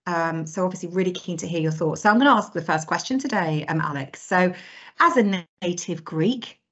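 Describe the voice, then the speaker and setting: A woman's voice: on the low side, fairly quick, fairly bright tone, muffled recording. A woman hosting a podcast, upbeat and jokey as she introduces the topic and puts questions to her guest. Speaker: podcast host.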